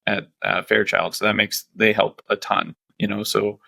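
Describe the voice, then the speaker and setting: Low-voiced coach guy chatting on a podcast, easygoing and laughing a lot, dry close mic. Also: flat delivery, normal speed, creaky, bright, forceful tone, no echo. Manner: laid-back, laughs often, loose filler-heavy talk. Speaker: strength and conditioning coach, guest. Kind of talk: casual podcast interview.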